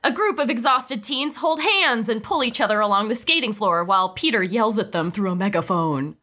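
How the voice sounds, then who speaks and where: A woman's voice: on the high side, animated, normal speed, bright, forceful tone. A woman reading stage directions in an amused way as the narrator of a comedic script table read.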